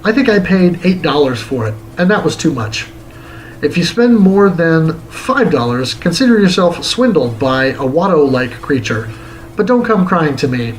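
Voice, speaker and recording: Higher-voiced man narrating a game review. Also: very animated, normal speed, slight background noise, rough recording.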